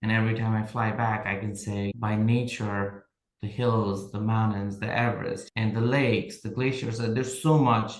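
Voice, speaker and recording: A man's voice: on the low side, steady tone, normal speed, reverberant, rough recording. A man from Nepal, a podcast guest reflecting on leadership and telling workplace stories in casual conversation. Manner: reflective, tells workplace stories, often checks in with "right?".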